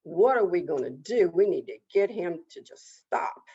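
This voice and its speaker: A woman voicing fed-up animals in a folk tale, exasperated, quick and colloquial. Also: fairly low pitch, lively intonation, mellow, husky, highs cut off. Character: fed-up forest animals complaining among themselves.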